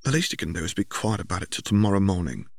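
Man voicing an exasperated, sharp young sister. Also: low voice, animated, rapid-fire, gravelly, no room sound, studio quality, heavily acted. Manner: hushed, hissing, sharp. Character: young girl, exasperated sister scolding her brother.

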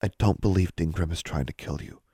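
A man's voice: a little high, wildly swooping pitch, normal speed, warm tone, dry recording, clean studio sound, pitched above the performer's own voice. A man voicing a timid, anxious schoolgirl with a hesitant, pleading manner, in an audiobook. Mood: quiet, doubtful.

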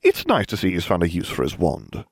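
A man's voice: low-pitched, huge pitch swings, a little fast, husky, no echo, heavily acted. A smug teenage wizard, read by a man, dry and flippant in a storybook. His intonation moves around a lot, and the sound is close and dry. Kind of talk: audiobook character voice.

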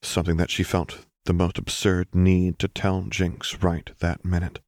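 Male audiobook narrator, very deep register, dry sound, scripted fiction. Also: completely flat delivery, unhurried, darkish tone, dry recording.

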